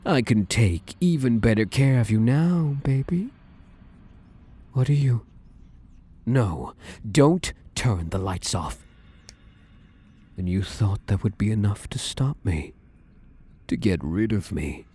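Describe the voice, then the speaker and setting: A man voicing a possessive, domineering male shadow spirit in an ASMR roleplay, speaking slowly and menacingly and often slipping into whispers. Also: lowish voice, expressive, dark tone, husky, full character voice. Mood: taunting. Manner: slow, menacing, drifts into whispers.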